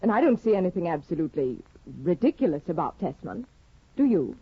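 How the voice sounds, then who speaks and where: Disdainful upper-class young wife for vintage radio drama; sardonic, imperious, very animated melody, muffled period recording. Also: female voice, lowish voice, a little fast, breathy edge, dry recording, full character voice. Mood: scornful. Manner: haughty, sardonic, theatrical. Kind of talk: 1950s radio drama acting.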